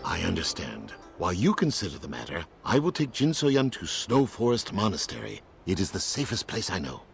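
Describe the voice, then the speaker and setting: Game mentor: lowish creaky voice, courteous and earnest guardian with formal phrasing. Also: male voice, very animated, fairly quick, soft background music, heavily acted. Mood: grave, earnest. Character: kindly, formal male martial arts elder and guardian. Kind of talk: video game voice acting.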